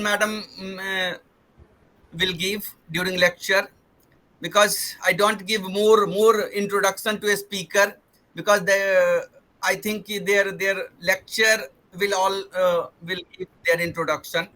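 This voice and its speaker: A man hosts an online lecture series over a video call. His voice sits high and bright, with a crisp, forceful edge. He talks earnestly, restating his points in slightly different words. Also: fairly even intonation, measured pace, low-quality recording. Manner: earnest, repeats and rephrases himself. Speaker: webinar host. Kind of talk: formal online introduction.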